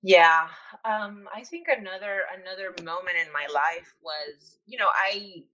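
A young woman from Venezuela talks through her own story, candid and circling, with plenty of "like" and "you know". Her voice is bright and forward. The recording sounds a little dull and lo-fi. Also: lowish voice, a little slow. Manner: candid, filler-heavy storytelling. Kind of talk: casual conversation.

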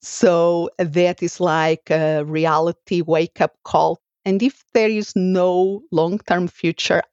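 A woman's voice: low-pitched, a little mellow, dry recording, lo-fi sound. A woman with a Portuguese accent, a podcast host and life coach telling her own story in an earnest, reflective manner, as a native Portuguese speaker. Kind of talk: personal story told for a podcast.

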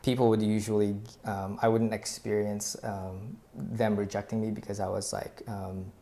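A man's voice: fairly low pitch, flat delivery, moderate pace, warm tone. A Filipino man whose first language is Tagalog, earnestly telling a personal faith story in casual conversation, with many fillers and restarts. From Philippines.

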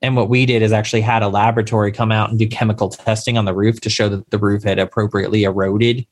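A man's voice: lowish voice, little pitch movement, fast talker. A man, a lawyer giving emphatic, step-by-step advice as a guest in an interview. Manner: advisory, emphatic, explains step by step. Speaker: insurance claims lawyer. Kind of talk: podcast interview answer.

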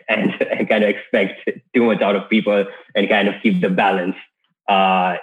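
A man from Kenya, a podcast guest, telling his career story in an easygoing, casual way with many restarts. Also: on the low side. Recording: tinny phone-like line.

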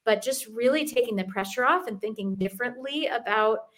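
A woman's voice: on the high side, a little fast, a bit bright. A middle-aged woman, a fitness expert, speaking as an interview guest in an earnest, chatty way with frequent ums and restarts. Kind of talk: casual interview talk.

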